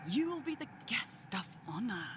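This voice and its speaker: An actress playing a sinister, scheming female cultist in a video game, speaking in a measured, theatrical way. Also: on the high side, sing-song, noisy background. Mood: gloating reverence. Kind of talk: dramatic video game dialogue.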